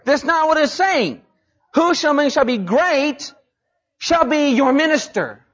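Male preacher, high voice, emphatic sermon delivery, dull lo-fi recording. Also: expressive, slow, a bit bright. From Mexico. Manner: emphatic, rising to high pitch on key points. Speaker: missionary preacher.

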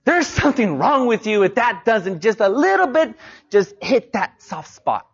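High-pitched emphatic missionary preacher, man. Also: sing-song, normal speed, vocal fry, breathy edge, lo-fi sound. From Mexico. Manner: emphatic, rising to high pitch on key points. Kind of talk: sermon.

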